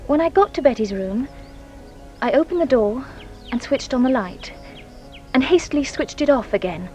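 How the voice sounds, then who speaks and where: A genteel young woman confessing as a witness in a radio drama. Her voice is on the high side, anxious and hesitant, with a dramatic edge. Light music runs underneath. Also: lively intonation, moderate pace, dark tone. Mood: uneasy recollection. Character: anxious, guilt-ridden genteel young Englishwoman giving testimony. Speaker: actress playing a witness.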